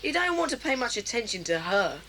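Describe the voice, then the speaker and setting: A chatty, inquisitive schoolboy. The voice is bright and sharp with animated swings, in children's radio-play style, over faint noise. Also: mid-range voice, a little fast, low-quality recording. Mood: curious, dismissive. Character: friendly, inquisitive schoolboy, a little dismissive. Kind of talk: children's audio drama acting.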